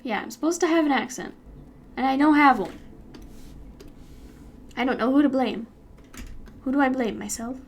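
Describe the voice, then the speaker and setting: A woman's voice: sits high, normal speed, long pauses, slight background noise. A young American woman chatting casually and rambling while she works on an animation, with lots of giggles. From United States. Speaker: YouTuber animating while streaming. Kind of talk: casual chat while working.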